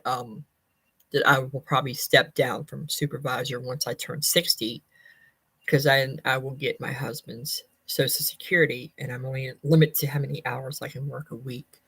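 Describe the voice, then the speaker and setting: A woman's voice: rumbling low, fairly bright tone, slightly rough, dry recording, poor audio. A woman in her fifties chatting casually to viewers with a life update, rambling in folksy wording and often repeating or correcting herself. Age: 50s. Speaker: personal vlogger giving a life update.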